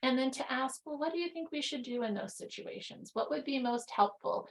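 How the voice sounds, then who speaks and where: A woman specialist giving measured, thoughtful advice in a teleconference case discussion over a video call. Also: sits high, animated.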